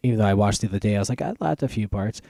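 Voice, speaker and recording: Low, mellow man in casual banter. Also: racing, gravelly, no echo, studio quality. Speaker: podcast host. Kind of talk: casual banter about films.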